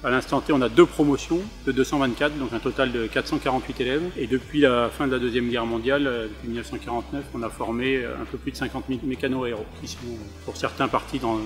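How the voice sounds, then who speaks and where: A man on staff at a military technical school, briskly explaining it in an interview. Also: mid-range voice. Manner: brisk, informative.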